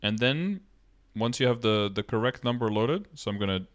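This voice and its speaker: A man's voice: medium pitch, lively intonation, moderate pace. Male game streamer, thirties, mid-range voice, casual tutorial delivery, explains step by step. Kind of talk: casual live-stream tutorial, answering chat questions. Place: indoor room, streaming setup.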